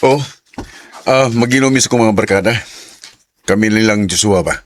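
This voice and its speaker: An actor playing a cold, evasive young boyfriend in a radio drama, with a Cebuano Filipino accent. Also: male voice, on the low side, moderate pace, close to an everyday voice. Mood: evasive, annoyed.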